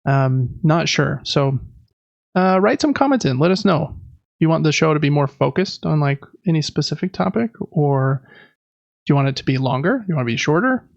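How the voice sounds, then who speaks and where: Creaky-voiced man, casual podcast host. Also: a little high, sing-song, normal speed, no room sound, lo-fi sound. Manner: rambling, self-deprecating. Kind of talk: casual chat about games and life.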